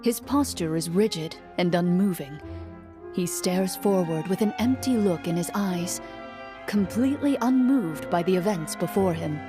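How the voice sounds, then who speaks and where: A woman acting as a composed game master, narrating a fantasy adventure in a measured storytelling manner. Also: lowish voice, expressive. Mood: measured, ominous. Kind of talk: scripted atmospheric narration. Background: background music.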